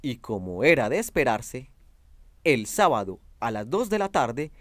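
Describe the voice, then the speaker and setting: A man's voice: medium pitch, very animated, unhurried. A man narrating a radio drama in a scripted, dramatic storytelling style.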